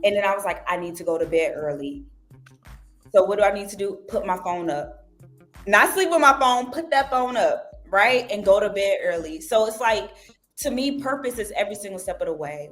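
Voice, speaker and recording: A woman's voice: medium pitch, lively intonation, moderate pace, faint music behind. A young woman guest on a casual podcast, talking animatedly and acting out her own self-talk. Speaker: guest journalist.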